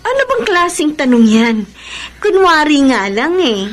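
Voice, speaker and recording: A woman acting a gentle but guarded young Filipina in a radio drama, measured and matter-of-fact, slipping in English phrases. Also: high voice, very animated. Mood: dismissive. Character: Cheska, guarded, gentle young woman, reluctant pretend girlfriend. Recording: noisy radio drama with ambience.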